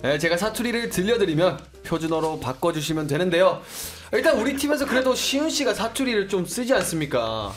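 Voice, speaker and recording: A playful man from Australia runs the quiz with a high voice that jumps all over the place. He teases the players warmly and throws in English exclamations between questions. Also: sing-song, brisk, hardly any pauses, soft background music. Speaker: quiz host. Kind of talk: lively variety show quiz hosting.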